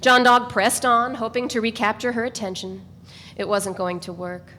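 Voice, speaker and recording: A woman reading first-person literary narration aloud in a measured, even way. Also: fairly high pitch. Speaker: reader. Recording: echoey room with faint noise.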